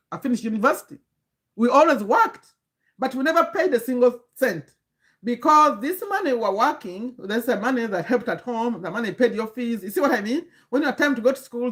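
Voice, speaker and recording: This is a woman, coaching viewers on a live stream. Her voice sits a little high, and she lectures earnestly, stopping and restarting her sentences as she goes. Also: fairly bright tone. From Uganda. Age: 50s. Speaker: livestream host and community coach.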